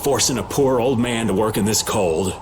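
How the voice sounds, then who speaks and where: A man's voice: fairly low pitch, normal speed, background noise, lightly acted. An actor playing an easygoing, whiny middle-aged adventurer who plays the old man, with a folksy manner, in a video game. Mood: playful grumbling. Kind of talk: English video game dub acting.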